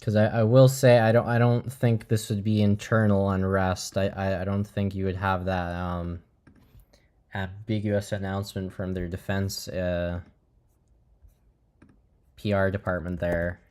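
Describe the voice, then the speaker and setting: Male livestream host, lower-pitched voice, informal live commentary, measured and a little halting. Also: level tone, a little mellow, clear, resonant tone.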